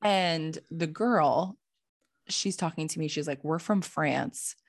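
A young woman with a Canadian accent, telling a story casually in a blunt, sweary, animated way and acting out the dialogue. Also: sits low, takes it slowly.

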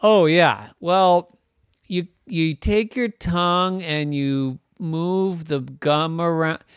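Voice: mid-range voice, takes it slowly, clean tone, no room sound, clean studio sound, voice pushed up, close to an everyday voice. An adult storyteller voicing an easygoing, slightly flustered mother in a bedtime story. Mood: hesitant.

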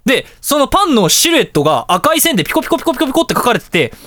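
A man hosting a casual radio talk show, telling anecdotes in an animated way and acting out dialogue and reactions. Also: extremely high pitch, very fast, slight background noise.

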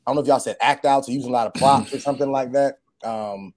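A man hosting a casual podcast chat, his voice hoarse, often saying "gotcha" and "mm-hmm" as he talks. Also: mid-range voice, quick pace, a little mellow. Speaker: podcast host.

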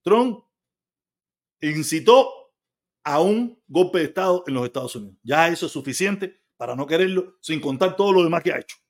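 Cuban man, high voice, blunt live-stream host, argues with chat, swears. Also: expressive, normal speed. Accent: Cuban Spanish. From Cuba. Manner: blunt, argumentative, swears freely.

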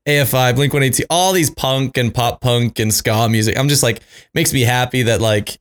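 A man's voice: mid-range voice, normal speed, fairly bright tone. A man of thirty, hosting a casual interview and asking questions in a friendly, enthusiastic way. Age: 30s. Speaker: interviewer, podcast host.

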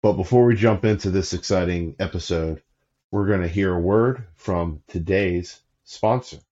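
A man's voice: deep voice, normal speed, dark tone, muffled recording. A man hosting a casual podcast, upbeat and often correcting himself as he introduces a guest. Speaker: podcast host.

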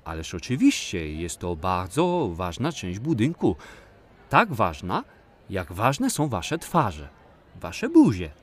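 A man's voice: fairly low pitch, wildly swooping pitch, slow, heavily acted. An actor playing a kindly, patient man who explains and encourages as a guide in a children's audio play. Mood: kindly, emphatic.

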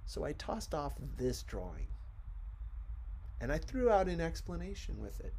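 A man narrating a storybook in a deliberate, theatrical way. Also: on the high side, huge pitch swings, gravelly, a little mellow, slight background noise, rough recording. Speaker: audiobook reader.

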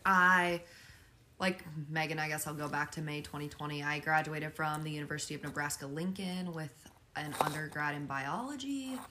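A woman's voice: low-pitched, moderate pace, bright, forceful tone, slight background noise. A young adult woman, a podcast guest, telling her story in casual chat with lots of fillers. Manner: earnest, filler-heavy storytelling.

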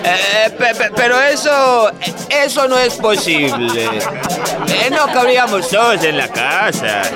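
An actor plays a flustered, polite father of the bride in an audio drama. He is high-pitched and stammers deferentially over loud crowd noise. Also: expressive, slow pace. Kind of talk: Spanish-language audio drama acting. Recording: loud music and crowd behind voice.

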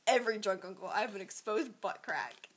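A woman's voice: mid-range voice, a little fast, a little hiss or hum. A young woman playing a silly improv character game with a friend, laughing often. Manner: giggly, playful, laughs often.

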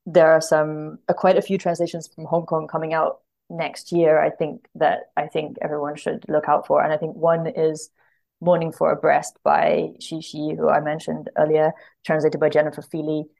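A woman with a low voice and plenty of vocal fry talks as a podcast guest. She is conversational and thoughtful, feeling her way through each point and rephrasing as she goes. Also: monotone, normal speed, a little mellow. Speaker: podcast guest, literary translator. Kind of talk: casual interview chat about books.

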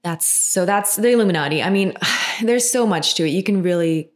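A woman's voice: on the low side, a little fast. A woman from Finland, co-hosting a podcast in casual, rambling chat full of fillers and restarts. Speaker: podcast co-host.

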